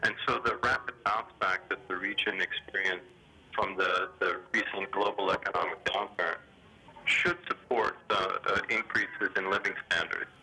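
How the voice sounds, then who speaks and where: A man giving formal, hesitant economic commentary in a phone interview, speaking in a measured, official way over a telephone. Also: low-pitched, fairly even intonation. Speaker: development bank official being interviewed. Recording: sharp, grainy telephone line.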